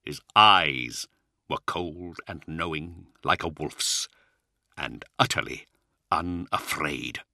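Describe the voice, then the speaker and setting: A man voicing an anguished, bitter knight commander sliding toward madness, impassioned and full of weighted pauses, in a dramatic audiobook. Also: extremely low pitch, sharp tone, no echo, studio quality, heavily acted. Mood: haunted. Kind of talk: dramatic audiobook character acting.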